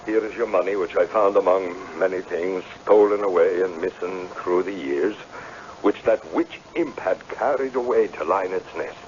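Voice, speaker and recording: A man playing an authoritative, guarded gentleman landowner in a radio drama, speaking formally and with command. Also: low-pitched, moderate pace, natural voice. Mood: conciliatory. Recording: muffled, faintly noisy radio broadcast.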